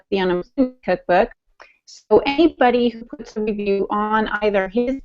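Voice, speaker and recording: A woman co-hosting a podcast, talking in a chatty, enthusiastic way with fillers and quick bursts. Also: mid-range voice, muffled recording. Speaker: podcast co-host. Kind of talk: casual podcast chat.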